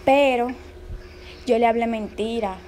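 A woman's voice: fairly high pitch, steady tone, unhurried, darkish tone, slight background noise. A young woman on a casual phone call, sounding nervous and pleading. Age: young adult. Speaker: prank caller, vlogger. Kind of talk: casual phone chat, feigning nervous worry. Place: indoors in a bare-walled room.

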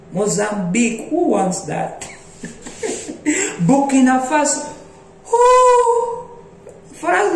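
A young man telling funny childhood stories to his phone camera, laughing often and slipping into high voices for the people he quotes. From Africa. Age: young adult. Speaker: vlogger. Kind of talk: casual comic storytelling to camera. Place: small bright indoor room. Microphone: phone held close at arm's length. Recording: echoey small room.

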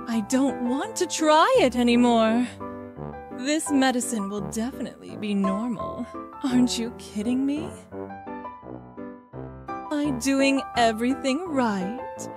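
Naive young cartoon filly, very high and slow-paced, acted by a woman, eager to please. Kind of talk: fan comic dub.

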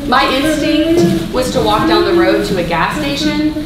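High-voiced deadpan young woman in an echoey hall, played by an actress. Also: moderate pace, over a noisy crowd. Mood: dry, matter-of-fact. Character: deadpan tech-dependent young woman narrating her absurd misadventure. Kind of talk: theatrical comic monologue in a live radio play. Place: live stage in a mid-sized hall with audience. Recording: echoey hall from far off, loud noise.

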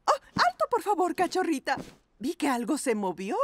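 An actress voicing a gentle mother pug, sweet and doting in a cartoon. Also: high voice, wildly swooping pitch, normal speed, slight background noise. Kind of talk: Spanish dub of a preschool cartoon.

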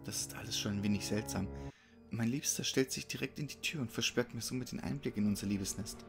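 A man's voice: on the low side, fast talker, gravelly. A man giving a scripted, dramatic narration of a horror story. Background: music behind the voice.

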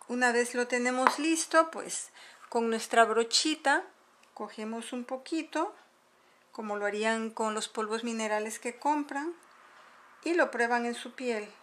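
A middle-aged woman calmly explaining, step by step, how she mixes powders at a table at home, filmed by a camera above the table. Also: a little high, slight background noise. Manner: patient step-by-step explaining while mixing powders. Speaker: makeup tutorial host. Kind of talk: casual how-to demonstration. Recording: home video with clinking dishes. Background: clinking spoons and bowls.

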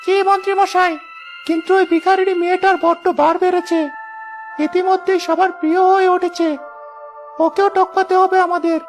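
A woman's voice: very high voice, flat delivery, normal speed, faint music behind. A woman voicing a servile, spiteful henchman of a scheming villain in a fairy-tale cartoon.

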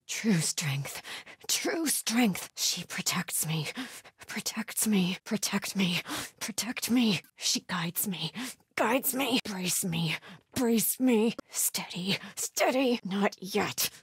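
A woman's voice: mid-range voice, slightly rough, no room sound, studio quality, exaggerated voice. A woman playing a zealous, fierce female cult warrior in a video game, with clipped, intense and devout delivery. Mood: hushed, strained prayer. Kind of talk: video game combat barks and prayers.

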